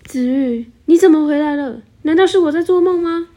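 A woman's voice: high-pitched, a little fast, dark tone, close to an everyday voice. A young woman playing a stern, grieving old king and father in an amateur radio drama. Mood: shaken, grieving. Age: young adult. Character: angry grieving older king, a suspicious father. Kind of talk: student radio drama acting.

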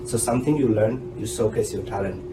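Low-voiced Indian man giving blunt advice. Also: quick pace, noisy background. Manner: frank advice-giving, emphatic. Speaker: guest, doctoral graduate. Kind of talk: podcast interview. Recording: echoey room with loud music bed.

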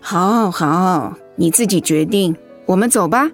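An actress in a Mandarin radio drama playing a caring older mother-in-law, soothing, motherly and gently wry. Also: on the low side, unhurried, faint music behind. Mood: wry, indulgent.

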